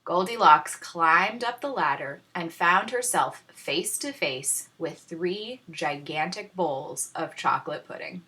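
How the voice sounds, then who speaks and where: A woman reads a children's story aloud like a teacher. Her voice is bright and fairly low, very lively in pitch, and unhurried, with tongue-in-cheek pauses. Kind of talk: animated children's storybook narration.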